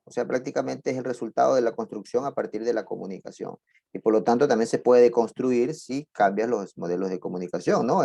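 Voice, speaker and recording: A man's voice: lowish voice, creaky, warm tone, dry recording. A middle-aged man, giving a conversational university lecture full of digressions and asides. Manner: digressive, chatty, with asides and laughs.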